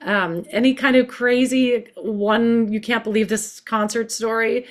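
Higher-voiced woman hosting a video interview. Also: fairly even intonation, unhurried. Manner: warm, chatty questions with hesitations. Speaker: host. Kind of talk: casual interview. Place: home room. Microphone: video call.

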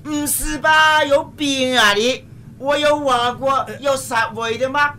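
An actor playing a brash, touchy rich man hunting for a flat in a radio drama, pompous. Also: very high voice, slow pace, slight background noise, full character voice. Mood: indignant. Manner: pompous, confrontational. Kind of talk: Cantonese radio drama acting.

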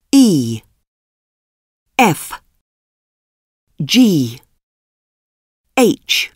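Woman announcer, very slow, low, lively pitch, dry booth. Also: dry recording. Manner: slow, deliberate and carefully spoken. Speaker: textbook audio announcer. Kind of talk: scripted lesson announcements.

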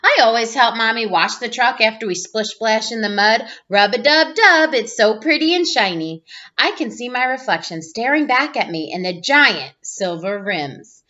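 A woman reads a children's picture book aloud with playful expression. Her voice is high and swings widely in pitch. The sound is slightly dull and lo-fi, like a webcam in a room at home. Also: lively intonation, normal speed, sharp tone. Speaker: teacher reading aloud. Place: small room at home, indoors.

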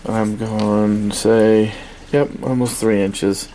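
A man with a fairly low voice talks very slowly through a casual product review. His delivery is halting and offhand, and there is clear noise from the room around him. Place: small indoor workspace at a desk. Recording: steady noisy room ambience.

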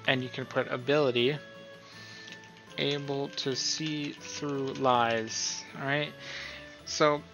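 A man's voice: medium pitch, fairly even intonation, takes it slowly, pauses often, a bit bright. A man giving a casual screen-recorded tutorial, thinking aloud informally and often checking in with the listener. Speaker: tutorial host. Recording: dull, lo-fi sound with music.

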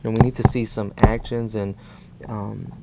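A man in his thirties from El Salvador, discussing politics as an activist guest in halting, repetitive phrases. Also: fairly low pitch, level tone, vocal fry, very noisy. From born in El Salvador. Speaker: guest, immigrant rights activist. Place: small indoor TV studio.

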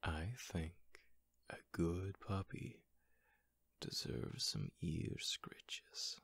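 ASMR roleplay: man playing affectionate, teasing male roommate, gently dominant, breathy and husky, with creaky fry. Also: deep voice, lively intonation, dry recording, full character voice. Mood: doting, soothing. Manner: slow, soothing, breathy, doting. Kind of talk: ASMR roleplay, whispered and softly spoken.

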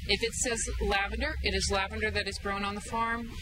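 A woman in her forties, a farm owner, talking plainly and warmly about her handmade products to a camera outdoors. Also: medium pitch, brisk. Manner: friendly, plain-spoken, explaining products. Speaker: farm owner and soap maker. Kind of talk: casual product talk to camera. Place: outdoor market tent with banner behind. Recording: outdoor ambient noise.

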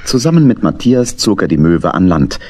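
A man's voice: low-pitched, very animated, moderate pace, very noisy. A man narrating a children's audio story in a scripted storytelling style.

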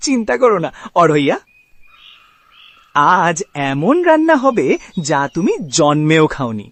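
Slow, very sing-song good-humoured young god played by a man. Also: on the high side, wildly swooping pitch, dry recording, exaggerated voice. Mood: reassuring. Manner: amused, reassuring.

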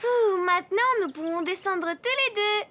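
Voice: normal speed, bright, forceful tone. A performer voicing a cheerful, eager little girl donkey in a preschool cartoon, with a childlike voice. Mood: friendly. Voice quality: high, childlike. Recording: music behind the voice.

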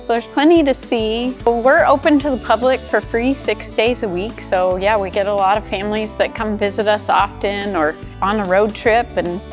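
A woman's voice: mid-range voice, steady tone, moderate pace, hardly any pauses, faint music behind. Friendly woman giving a ranch tour. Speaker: horse ranch guide. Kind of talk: guided tour talk.

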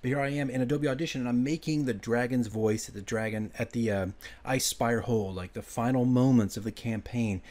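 A man working as a game master, giving an informal, enthusiastic, hurried screen-share walkthrough. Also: medium pitch, darkish tone. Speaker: game master making a prep video. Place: indoors at a computer, voice-over.

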